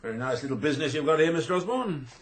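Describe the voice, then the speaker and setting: An actor playing a polite, probing police inspector in a radio drama. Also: male voice, fairly high pitch, expressive, racing.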